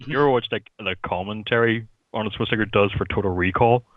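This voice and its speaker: Low-voiced man, dull lo-fi sound. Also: fast, no room sound. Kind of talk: casual podcast chat, telling anecdotes.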